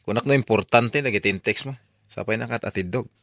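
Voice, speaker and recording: A man's voice: on the low side, fairly quick, fairly bright tone, no echo, clean studio sound. A man playing a defensive young husband and college student in an audio drama, with an Ilocano accent. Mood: irritated.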